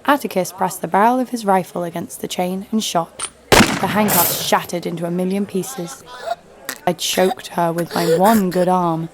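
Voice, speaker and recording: An actress playing a ruthless, sardonic assassin in a narrated audio drama, deadpan and menacing. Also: female voice, lowish voice, normal speed, heavy background noise, lightly acted. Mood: deadpan storytelling. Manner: menacing, deadpan, foul-mouthed. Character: ruthless, sardonic woman assassin, destroyer of cities. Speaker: lead actor and narrator. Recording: music behind the voice.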